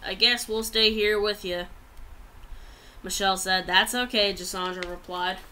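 A woman's voice: medium pitch, fairly even intonation, measured pace, sharp tone, slight background noise. A young adult woman reads a written story aloud plainly, stumbling over some words, in a small room at home on a laptop microphone. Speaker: amateur writer reading own story.

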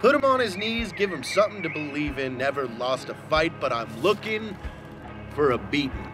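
A man in his thirties giving a deliberate, mock-dramatic reading of rap lyrics. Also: on the high side, sing-song. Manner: deliberate, theatrical delivery. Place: outdoors among trees. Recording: music under the voice. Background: music.

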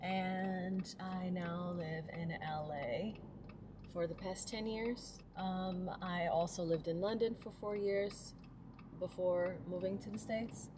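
A woman's voice: fairly low pitch, fairly even intonation, slow. A young woman from Portugal talking casually to a dashboard camera while driving, thinking aloud with fillers. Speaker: vlogger, dancer. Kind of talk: casual vlog talk to camera. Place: inside a car. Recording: noisy car interior.

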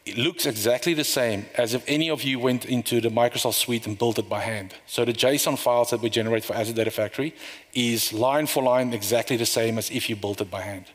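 A middle-aged man giving a conference talk, walking through a live software demo in a loose, rambling way with fillers and restarts. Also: medium pitch, a little fast. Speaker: conference speaker. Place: conference room. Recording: long reverb of a hall.

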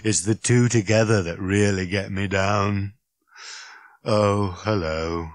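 Storybook robot: ancient and miserable, low hollow rasp, dragging every word out in gloomy complaint. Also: male voice, fairly even intonation, no echo. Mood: despairing misery. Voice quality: hollow, rasping. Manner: slow, groaning, droning complaint. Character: worn, ancient, gloomy, self-pitying male robot of scarred metal.

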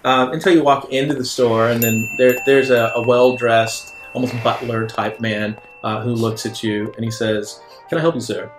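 A man narrating a tabletop game as game master, feeling his way through improvised descriptions in halting phrases. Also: medium pitch, flat delivery. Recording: roomy sound with faint music.

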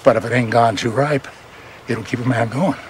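An actor playing an easygoing middle-aged outlaw mentor in a western series, with gravelly voice and folksy old-time phrasing. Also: male voice, medium pitch, steady tone, moderate pace, slight background noise, close to an everyday voice. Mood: wry, reminiscing. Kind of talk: screen acting, casual western dialogue. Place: outdoors by a river.

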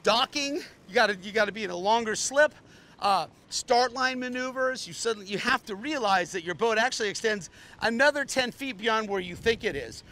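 A man's voice: sits high, brisk, pushed and bright. Blunt older man touring a sailboat. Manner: blunt, opinionated, joking asides. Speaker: boat tour host. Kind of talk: casual walkthrough commentary. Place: on a docked sailboat outdoors, then inside its small cabin.

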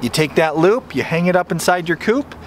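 A man's voice: high voice, expressive, a little fast, slight background noise. A man in his thirties hosting a homesteading vlog, chatting eagerly about farm gear. He films himself with a handheld camera in a barn and a farmyard. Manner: upbeat, chatty, enthusiastic, with some stumbles. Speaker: homesteading channel host and father. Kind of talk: casual product demo vlog. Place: wooden barn and outdoor farmyard. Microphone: handheld camera at arm's length.

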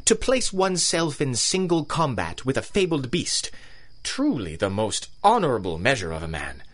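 A pompous old-school colonel who hunts for sport in a radio play. He is courteous and theatrical, with menace under the manners, and his pitch sweeps dramatically up and down. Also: huge pitch swings, normal speed, fairly bright tone. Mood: grandiose menace. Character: pompous British colonel, big-game hunter villain, coldly courteous.

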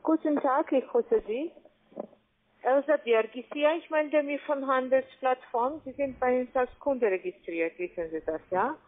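A woman who is not a native speaker, giving a formal, halting telephone sales pitch. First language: not German. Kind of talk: sales pitch on a cold call. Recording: tinny, narrow phone line.

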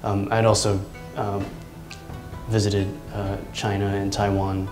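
A man in his thirties speaks slowly and thoughtfully, hesitating and restarting as he searches for words. His voice sits low and stays flat, with little rise or fall. The room around him rings with echo, and soft music plays underneath. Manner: thoughtful, halting, many ums and restarts. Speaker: artist. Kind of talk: reflective interview talk. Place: large indoor art studio. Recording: echoey studio room.

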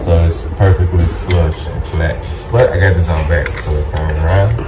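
A man's voice: low voice, fairly even intonation, moderate pace, over loud music. A man in his thirties hosting a casual DIY video, informally talking through each step as he works in a noisy home room. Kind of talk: casual hands-on product demo and tutorial. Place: small home game room, indoors.

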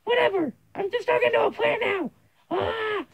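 An amateur puppeteer voicing a small, excitable, fretful mushroom creature in a homemade toy show, exclaiming and squealing. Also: very high-pitched, normal speed, creaky, slight background noise, voice pushed up. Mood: exasperated.

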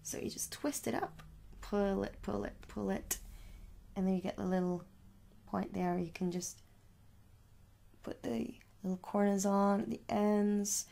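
A young adult woman doing a soft, reassuring ASMR makeup store roleplay, chatting casually and repeating herself as a shopkeeper. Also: medium pitch, level tone, measured pace, gravelly, pauses often, slight background noise. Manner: reassuring, repetitive, conversational. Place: small room at home.

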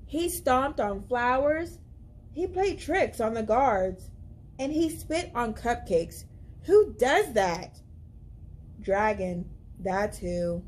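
A young woman reading a children's picture book aloud as a library storyteller, with expressive, deliberate, dramatic emphasis. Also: on the high side, a little hiss or hum. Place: indoors, library among bookshelves.

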